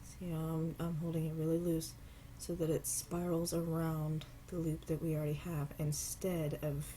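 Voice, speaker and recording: Low, mellow-voiced woman with a stuffy cold. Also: slight background noise, low-quality recording. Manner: relaxed, unhurried, apologetic asides. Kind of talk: casual step-by-step craft tutorial. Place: home craft table.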